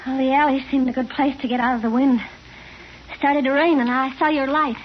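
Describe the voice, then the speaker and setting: A woman's voice: high voice, fairly even intonation, fairly quick, lightly acted. An actress in an old-time radio drama playing a lonely, broke young drifter girl, plaintive and a little hesitant. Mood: weary, grateful. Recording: muffled, hissy vintage radio recording.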